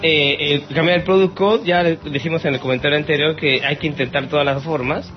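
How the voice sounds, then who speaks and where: A Mexican man with a fairly high voice hosts a casual listener Q&A podcast. He talks in a playful, theatrical manner. The sound is slightly dull and lo-fi, with soft music playing behind him. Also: fairly even intonation, fairly quick. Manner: theatrical, mock-exasperated, playful. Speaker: podcast host.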